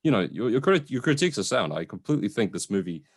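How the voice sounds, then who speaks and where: A man's voice: lowish voice, sing-song, quick pace, slightly rough. A man co-hosting a casual, conversational film review, informal and sometimes sweary as he qualifies his opinions.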